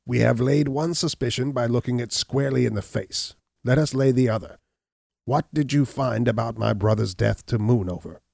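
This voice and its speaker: A man voicing a hunchbacked murderer, a cornered, defiant villain who blusters and shouts in a raised, strained voice. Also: medium pitch, normal speed, gravelly, no room sound, voice pushed up. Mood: scornful. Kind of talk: audiobook reading.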